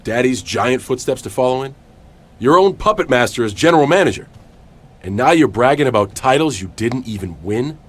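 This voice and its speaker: A man playing an intense, defiant wrestling hero in a video game, confrontational and sardonic with a husky voice. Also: medium pitch, expressive, a little fast. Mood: angry, defiant. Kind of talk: video game cutscene acting.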